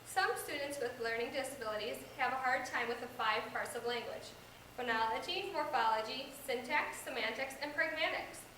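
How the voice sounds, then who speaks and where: High-voiced young woman as patient teacher. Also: normal speed, sharp tone. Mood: instructive. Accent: American. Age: young adult. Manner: instructive scripted delivery. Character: supportive, patient female reading teacher. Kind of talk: amateur reader's theater. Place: echoey indoor room. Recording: echoey room, distant microphone, background hiss.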